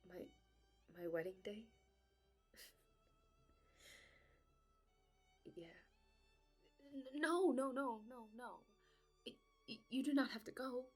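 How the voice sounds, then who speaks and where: A woman's voice: high voice, sing-song, unhurried, soft background music, exaggerated voice. A woman playing an anxious, lovelorn young princess bride in a romantic audio roleplay, speaking formally and hesitantly. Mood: nervous pleading. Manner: formal regal phrasing, hesitant, breathy pleading.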